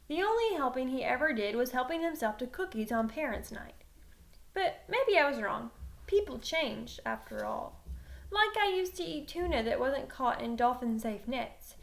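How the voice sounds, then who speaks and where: High-pitched woman reading a children's novel expressively. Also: vocal fry. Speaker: teacher reading a novel aloud. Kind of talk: expressive read-aloud of a children's novel, first-person narration.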